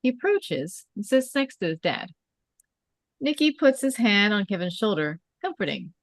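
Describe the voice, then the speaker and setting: A woman narrates a screenplay at a table read. She reads scene headings and stage directions in a mid-pitched voice, recorded dry with little room sound. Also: very animated, normal speed, dry recording. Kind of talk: scripted narration.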